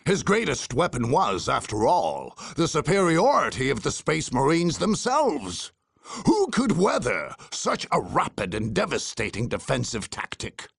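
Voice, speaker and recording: Gravelly, slow dramatic war chronicler. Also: male voice, medium pitch, huge pitch swings, a bit bright, dry recording, studio quality, exaggerated voice. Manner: theatrical, deliberate storytelling. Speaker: narrator. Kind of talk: dramatic scripted game narration.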